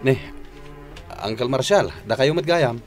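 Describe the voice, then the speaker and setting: An actor playing a meek, hesitant farmer with an Ilocano Filipino accent in a radio drama. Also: male voice, medium pitch, animated, measured pace, soft background music, natural voice. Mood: flustered. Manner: hesitant, deferential. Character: meek, respectful farmer nephew, intimidated husband.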